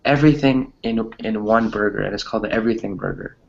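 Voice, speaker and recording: A man's voice: fairly low pitch, quick pace, gravelly. A man chatting casually as an online class teacher, hesitant and often repeating phrases, on slightly muffled audio. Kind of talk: casual chat with students.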